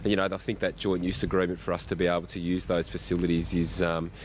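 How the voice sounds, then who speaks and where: A man's voice: deep voice, flat delivery, quick pace, slight background noise. An Australian man speaking as a school principal in an informal interview, often repeating words as he goes.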